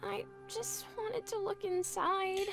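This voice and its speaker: A woman voicing a sick, timid little child in a video game, dramatic and guilt-ridden, in a small breathy voice. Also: extremely high pitch, steady tone, slow, fairly bright tone, faint music behind, heavily acted. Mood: subdued, confessing.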